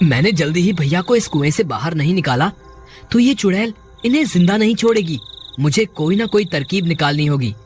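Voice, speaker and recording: A high-pitched, earnest young-hero type acted by a man from a cartoon village tale. He speaks with dramatic, anxious urgency and devout feeling. Music plays behind the voice. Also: expressive, fairly quick. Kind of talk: Hindi cartoon story acting.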